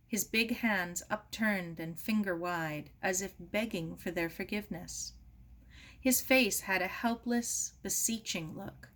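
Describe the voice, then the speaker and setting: A woman narrating a novel as an audiobook reader, expressive, deliberate and grave. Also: mid-range voice. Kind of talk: dramatic scripted narration of a novel.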